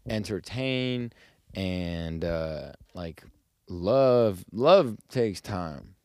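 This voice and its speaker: Young man, low voice, slangy animated storyteller, dry sound. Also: drawn-out words, clear, resonant tone, dry recording. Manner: slangy, animated storytelling, jumps into high mock voices when quoting. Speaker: podcast host. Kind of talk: casual podcast chat.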